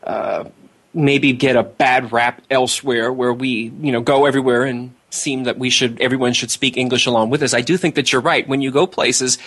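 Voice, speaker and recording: A man hosting an interview, talking in a relaxed and rambling way with a lot of fillers. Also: mid-range voice, normal speed, slightly rough. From United States. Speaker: interview host. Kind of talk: casual interview chat.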